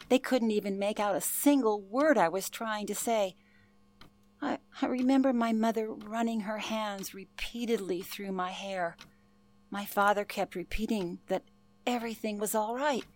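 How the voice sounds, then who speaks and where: A woman's voice: mid-range voice, lightly acted. An actress playing an older former telephone operator, telling her past in an earnest, genteel way for a radio play. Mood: emotional recollection. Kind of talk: radio play, interview-style reminiscence.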